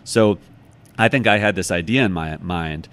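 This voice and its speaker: An American man talking casually as an interview guest, telling stories in an animated, reflective way and correcting himself as he goes. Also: low-pitched, moderate pace. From United States, from Chicago. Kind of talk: casual conversational storytelling.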